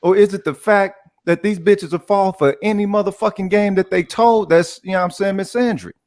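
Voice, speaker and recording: A man's voice: high-pitched, fairly quick, a little mellow. A man on an online panel who argues emphatically and swears a lot, repeating words and phrases for stress. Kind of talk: casual online panel discussion.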